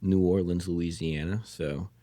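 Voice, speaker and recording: A young American man talking casually as a podcast guest, in a measured, articulate way. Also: low-pitched, steady tone, dark tone, no echo. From Georgia, US. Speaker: guest paramedic.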